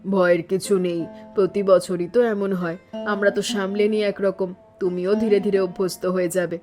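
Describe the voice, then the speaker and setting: Cartoon elder daughter-in-law, female actor: patient village housewife, level delivery, mid pitch, calm, resigned, reassuring, light music behind. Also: a little fast, close to an everyday voice. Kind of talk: animated family story acting.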